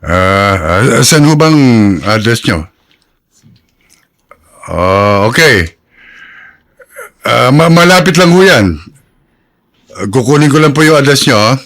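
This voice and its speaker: A man's voice: mid-range voice, big pitch swings, slow, long pauses, fairly bright tone, exaggerated voice. A man playing a mild, practical father in a Filipino radio drama, polite and a little hesitant. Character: mild, practical father who runs a gas dealership.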